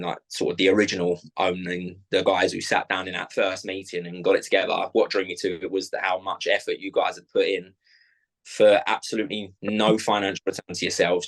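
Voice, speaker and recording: A man's voice: deep voice, rough recording. A man in his thirties from South London, with a South London accent, talking casually and rambling with fillers as an interview guest. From South London, England. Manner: chatty, rambling with many fillers and restarts. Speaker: club owner, guest.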